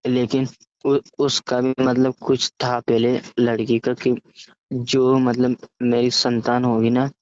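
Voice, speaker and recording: A man casually telling a story over the phone, halting and often stammering, repeating syllables and words. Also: medium pitch, little pitch movement, a little mellow. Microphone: phone call. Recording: muffled, echoey phone call recording.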